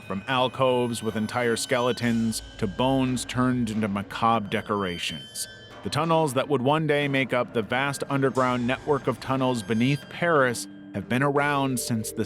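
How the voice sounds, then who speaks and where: A man narrating a scripted documentary-style piece in a measured, serious way. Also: mid-range voice, a bit bright. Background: background music.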